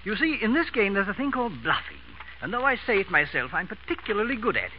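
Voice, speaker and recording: Querulous, befuddled English aristocrat, high-pitched, hesitant, noisy dull vintage radio recording. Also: male voice, very animated, fast. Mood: sheepish. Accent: upper-class English. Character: grumpy, befuddled English lord, bumbling and hesitant. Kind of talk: 1930s radio acting.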